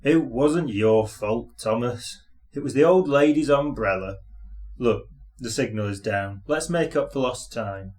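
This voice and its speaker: A man's voice: mid-range voice, unhurried, a little mellow, lightly acted. A man voicing a forgiving, practical railway guard in a children's storybook, reassuring and matter-of-fact.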